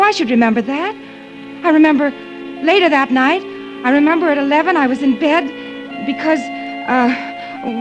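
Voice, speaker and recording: A woman's voice: sits high, highs cut off. An actress playing an anxious, forgetful young working woman, fretting and questioning herself in an old radio thriller. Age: young adult. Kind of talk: 1940s-50s radio thriller acting, inner monologue and dialogue. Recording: old radio drama, music underneath.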